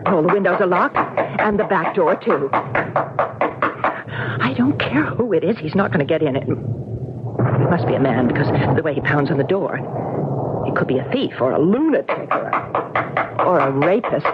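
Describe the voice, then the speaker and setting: A woman's voice: low voice, expressive, unhurried, long pauses. An actress playing an anxious, suspicious, prim woman in an old-time radio drama, fretful and hesitant, on a muffled, noisy old recording. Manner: fretful, hesitant inner monologue and wary talk.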